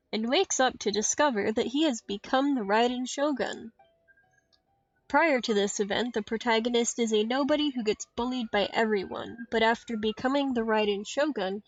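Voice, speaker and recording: A woman's voice: fairly high pitch, dry recording. A woman game streamer reading story dialogue aloud on a livestream, recorded dull and dry at home. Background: faint game music.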